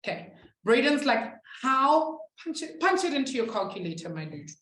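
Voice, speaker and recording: A woman's voice: high voice, big pitch swings, normal speed, fairly bright tone, echoey room, slight background noise, poor audio. A young woman who is not a native English speaker, teaching a live online maths class from a webcam and chatting with students. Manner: chatty, reads out student chat, laughs at herself.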